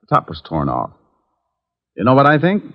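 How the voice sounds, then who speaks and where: A hard-boiled private detective in an old radio drama. He is dry, glib and wisecracking, with a middling pitch, heard through a dull vintage recording. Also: huge pitch swings, normal speed. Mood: probing. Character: wry, wisecracking private detective, adult man. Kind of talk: 1950s radio acting with hard-boiled narration.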